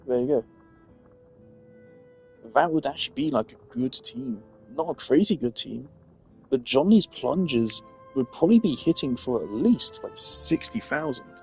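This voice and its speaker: A man's voice: mid-range voice, big pitch swings, unhurried, creaky, darkish tone, faint music behind, poor audio. A man giving casual, rambling gaming commentary, thinking aloud as a streamer. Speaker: game streamer.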